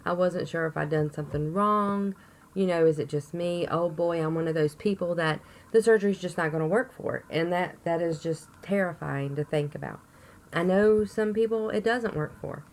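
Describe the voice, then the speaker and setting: A woman with a hoarse voice from a chest cold, rambling chattily to camera in a casual update video with plenty of ums. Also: fairly low pitch, normal speed, poor audio. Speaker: vlogger.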